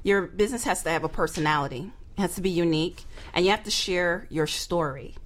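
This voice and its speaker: A woman's voice: lowish voice, brisk. A woman speaking as a marketing expert on a talk show, emphatic and playful, repeating words to drive points home. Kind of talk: lively talk show discussion.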